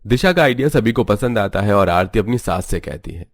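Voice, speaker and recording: Low-pitched male story narrator. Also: expressive, a little fast, a little hiss or hum.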